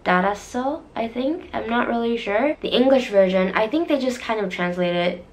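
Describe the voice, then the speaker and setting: A young woman in her twenties chatting casually to camera from a small bedroom, her voice still a bit deeper than usual after losing it. She fills her talk with "like" and often restarts and corrects herself. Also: animated, brisk. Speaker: vlogger. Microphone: camera in front at desk distance.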